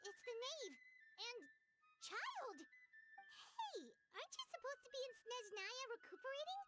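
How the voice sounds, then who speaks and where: A woman voicing a tiny, chirpy, childlike female fairy companion in a video game, squeaky and inquisitive. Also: very animated, a little slow, over loud music, full character voice. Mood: surprised, curious.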